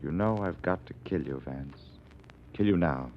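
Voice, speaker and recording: A man's voice: extremely low pitch, normal speed, slight background noise. An actor playing a bitter, cornered male killer, grave and menacing in 1940s radio mystery style. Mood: cold, menacing. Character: cornered, bitter male murderer, coldly regretful. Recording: muffled vintage radio recording.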